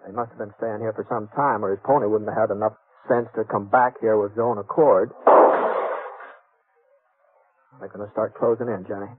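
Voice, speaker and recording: An actor playing a calm, reasonable drifter gunslinger in a 1950s radio western, speaking in a hesitant, folksy, stammering drawl. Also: male voice, fairly low pitch, quick pace, husky. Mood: gentle, firm. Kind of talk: 1950s radio western acting and first-person narration. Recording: muffled, band-limited 1950s radio recording.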